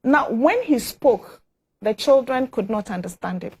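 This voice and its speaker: A woman's voice: on the high side, lively intonation, measured pace, darkish tone, husky. A woman with a Ghanaian accent, a guest on a TV studio panel, telling a story earnestly and with emphasis. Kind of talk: TV panel discussion.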